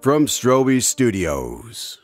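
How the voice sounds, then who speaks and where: A male radio drama host with a mid-pitched voice and lively intonation. He reads in a scripted announcer style over loud plucked guitar music. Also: extremely slow. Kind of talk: scripted radio show hosting.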